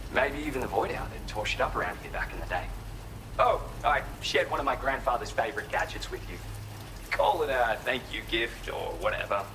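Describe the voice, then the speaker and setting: An actor playing a cocky, laid-back wandering pioneer in a video game, casual, slangy and boastful. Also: male voice, medium pitch. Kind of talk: video game cutscene acting. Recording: noisy rain behind.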